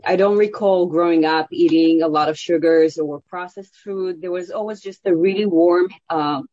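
Guest chef, woman, lowish voice, English speaker, eager and rambling, dry and lo-fi recording. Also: no room sound. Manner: warm, enthusiastic storytelling with fillers. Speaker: guest, celebrity chef and cookbook author. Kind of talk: interview answers. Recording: dull, dry audio.

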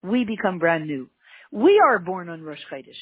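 A teacher with a Canadian accent giving an emphatic religious lesson, repeating key words and jumping up in pitch for emphasis. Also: sing-song, normal speed, slightly rough, dry recording. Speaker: Torah teacher. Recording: tinny phone-like line, dry.

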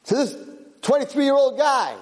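A man's voice: on the high side, wildly swooping pitch, takes it slowly, big-room sound, pitched above the performer's own voice, exaggerated voice. A man voicing a cruel, mocking young male master in a told story, bossy and taunting.

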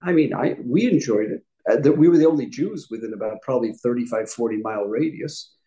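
A man's voice: mid-range voice, lively intonation, brisk, dark tone. A middle-aged man from upstate New York, a rabbi answering interview questions in a conversational, explanatory way, often correcting himself mid-sentence. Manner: explanatory, with frequent self-corrections and asides. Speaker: guest rabbi.

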